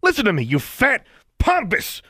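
A man voicing an indignant middle-aged government official who turns smug and then shouts in a fury. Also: on the high side, sing-song, a little fast, dry recording, studio quality, voice pushed up. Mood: yelling. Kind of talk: audiobook character voice.

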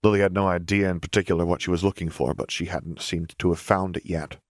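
A man's voice: deep voice, level tone, fast talker, no echo, clean studio sound. A man reading a story aloud as scripted audiobook narration.

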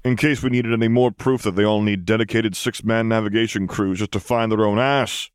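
A man's voice: fairly low pitch, fairly even intonation, brisk, smooth, no room sound, studio quality, natural voice. A man voicing a roguish, sardonic father who grumbles and jokes in an audiobook.

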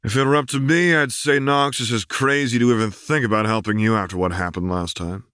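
Hardened older female killer for an audiobook, male reader acting: smoky rasp, scornful, raised pitch. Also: fairly even intonation, brisk, a bit bright, no echo, clean studio sound, close to an everyday voice. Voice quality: raspy, husky. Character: tough, scarred older female soldier, cynical killer.

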